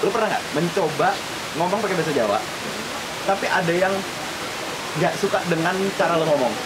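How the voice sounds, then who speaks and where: A young man hosting a casual chat at a table in a small room, talking quickly with his hands. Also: fairly high pitch, heavy background noise. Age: young adult. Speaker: host. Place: small indoor room with brick wall.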